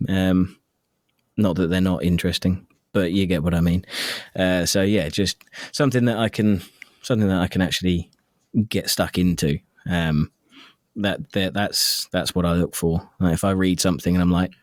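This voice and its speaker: A man's voice: deep voice, normal speed, no room sound, clean studio sound. A man who narrates audiobooks, chatting casually as an interview guest in a self-deprecating, jokey and sometimes sweary way.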